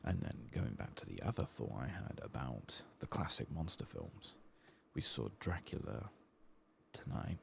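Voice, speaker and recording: A soft-spoken man doing an ASMR game playthrough, hushed and sometimes whispering, musing in a reflective, hesitant way as he plays, close to the microphone. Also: a bass voice, big pitch swings, measured pace, gravelly, slight background noise. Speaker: ASMR gaming video host.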